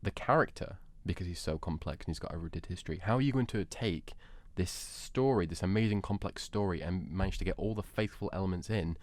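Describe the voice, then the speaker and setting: A low-voiced man argues as a panelist in a casual debate. He is emphatic and opinionated, repeating words to drive a point home. The recording is dry, without room sound. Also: brisk, few pauses, no room sound.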